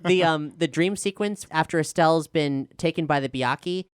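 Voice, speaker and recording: Showrunner on a casual Q&A panel: crisp, close dry sound, talks through process conversationally. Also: mid-range voice, moderate pace, no echo. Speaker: showrunner and writer of an audio drama.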